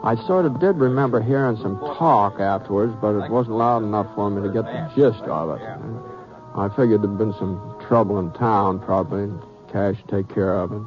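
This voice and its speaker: A man's voice: lowish voice, moderate pace, over music, close to an everyday voice. Man playing an easygoing cowboy, muffled old radio. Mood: wry, relaxed. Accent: American. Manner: folksy, laconic Western drawl. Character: easygoing, plain-spoken cowboy who narrates his own tale. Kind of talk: old-time radio western acting and narration. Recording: muffled vintage radio recording.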